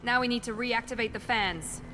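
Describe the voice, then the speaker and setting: A woman's voice: fairly high pitch, moderate pace, music in the background, close to an everyday voice. An actress playing a determined, urgent woman soldier in a video game. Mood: focused.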